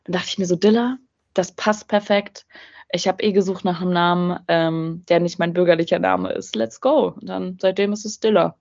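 A woman's voice: fairly low pitch, steady tone, normal speed, creaky, a little mellow, lo-fi sound. A young woman guest musician speaking standard German in a bubbly, slangy, self-mocking way. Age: young adult. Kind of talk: casual interview chat.

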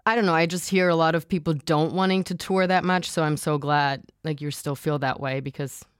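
A woman's voice: low-pitched, steady tone, brisk, clear, resonant tone, no room sound, studio quality. A woman who is a native German speaker, hosting a celebrity interview in a chatty, enthusiastic way. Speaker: radio interviewer and host.

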